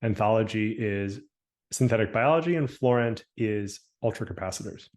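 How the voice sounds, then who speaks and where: A man's voice: lowish voice, a little mellow. Man presenting on a video call. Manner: brisk listing, slowing on names. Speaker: program staff presenting.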